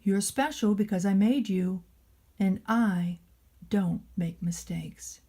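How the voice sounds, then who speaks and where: A woman voicing a large, gentle bearded craftsman in a storybook, slow, thoughtful and reassuring. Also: mid-range voice, slightly rough. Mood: encouraging.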